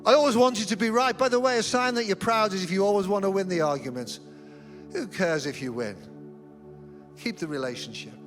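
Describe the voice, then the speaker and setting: A man's voice: sits high, brisk, a bit bright, faint music behind. A middle-aged man preaching a sermon as senior pastor in a church, telling humorous, self-deprecating stories. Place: church auditorium.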